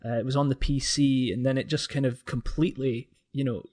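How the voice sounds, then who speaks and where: Guy on a gaming podcast, casual chat, kinda restarts his words, medium pitch. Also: fairly even intonation, brisk, darkish tone. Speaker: podcast panelist.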